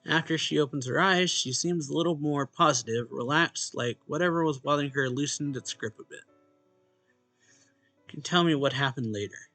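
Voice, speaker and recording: A man's voice: on the high side, moderate pace, bright, forceful tone, no echo. A man reading a visual novel's narration and dialogue aloud expressively, as a let's play host. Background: game music.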